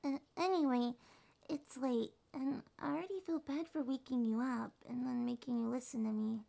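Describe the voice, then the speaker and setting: A woman voicing a shy, sweet young succubus monster girl in a soft, breathy, hesitant ASMR roleplay. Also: high voice, steady tone, normal speed. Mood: sheepish, soft. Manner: hesitant, gentle, slightly stammering.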